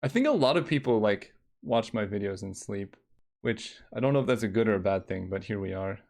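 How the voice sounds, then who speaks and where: A man's voice: fairly low pitch, expressive, fairly quick, vocal fry, a little mellow. A young man streaming a card game, talking casually in a relaxing voice, thinking aloud and counting under his breath.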